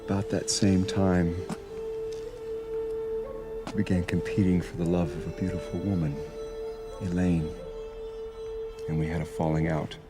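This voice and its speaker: A man's voice: deep voice, level tone, a little slow, pauses often. An actor playing an earnest, emotional male bishop in a video game cutscene, with music behind him. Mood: wistful. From Ireland.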